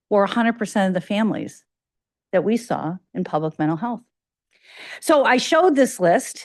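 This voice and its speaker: A woman's voice: medium pitch, no room sound, clean studio sound. A woman with a slight Chicago accent giving an academic grand rounds lecture, talking conversationally with fillers and restarts. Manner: conversational, self-correcting, with frequent fillers.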